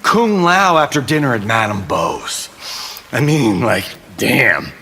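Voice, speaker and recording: A man's voice: medium pitch, sing-song, slow, gravelly, bright, forceful tone, heavily acted. A man playing a flippant, theatrical Hollywood showman fighter trading quips in a video game. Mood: sarcastic. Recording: noisy game audio, hall reverb.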